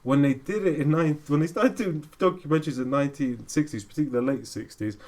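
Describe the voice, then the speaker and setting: A British man chatting on a podcast, voice sitting a little high for a man. He rambles through long tangents in an animated way, laughing often as he goes. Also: quick pace. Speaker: podcast co-host. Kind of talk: casual chat.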